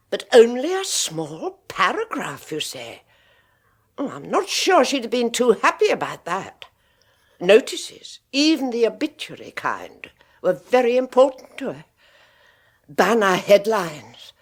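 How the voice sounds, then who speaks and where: A fluttery genteel spinster sister. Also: female voice, fairly high pitch, exaggerated voice. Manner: fluttery, nervous, then sardonic. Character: genteel older sister, bereaved and bitter.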